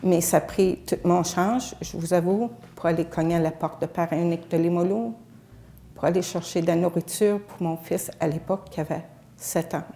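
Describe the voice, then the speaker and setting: An older woman from Alberta, English-speaking by birth, speaks as a feminist activist. Her voice is low, dark and gravelly, with a mellow warmth. She talks in earnest, halting phrases with pauses between them. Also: steady tone, slight background noise. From Alberta, Canada. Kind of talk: personal testimony.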